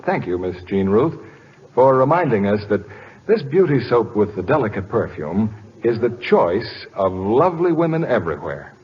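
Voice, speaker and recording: Animated American radio announcer, man. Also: on the low side, moderate pace, husky. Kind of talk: 1940s scripted radio announcing. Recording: dull old radio broadcast, faint music.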